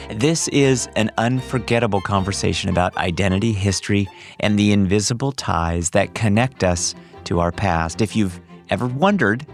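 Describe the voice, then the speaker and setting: A male podcast host reading a measured, emphatic introduction, then chatting with his guest. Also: medium pitch. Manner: measured, emphatic rhetorical delivery. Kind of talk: scripted intro then interview chat.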